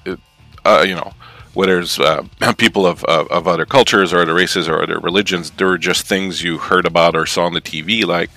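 A man's voice: sits low, steady tone, a little fast, bright, forceful tone, soft background music. A young man from Canada, a guest in a casual chat, talking thoughtfully with plenty of fillers. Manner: thoughtful, rambling, many fillers.

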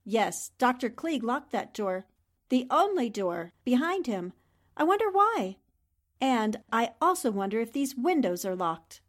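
A woman playing a composed, shrewd newspaperwoman in a 1940s-style radio drama, speaking in a polite, measured way. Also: a little high, very animated. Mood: suspicious.

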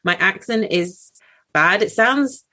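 A woman's voice: mid-range voice, animated, takes it slowly, pushed and bright. A woman in her thirties, a podcast guest chatting casually, bubbly and enthusiastic. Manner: bubbly, enthusiastic, laughs often. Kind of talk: casual chat.

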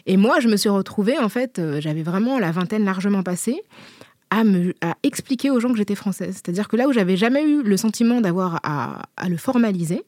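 A woman's voice: medium pitch, lively intonation, brisk, darkish tone, dry recording. A young adult woman from the Paris area, chatting casually and thoughtfully with frequent fillers and restarts. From Paris area, France. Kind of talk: casual conversational chat.

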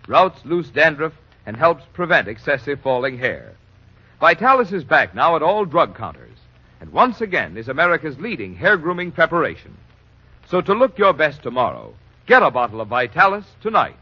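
Male vintage radio announcer, animated and slightly husky, polished salesman delivery, dull old recording. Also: a little high, moderate pace. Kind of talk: 1940s radio commercial announcing.

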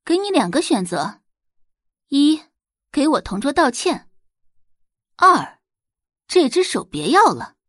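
A woman voicing a confident, direct and tough new high school student in an audiobook drama. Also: very animated, slow pace, pauses often, fairly bright tone, no echo, clean studio sound, exaggerated voice. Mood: cool, threatening. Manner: cool, assured, direct. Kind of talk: audiobook character acting.

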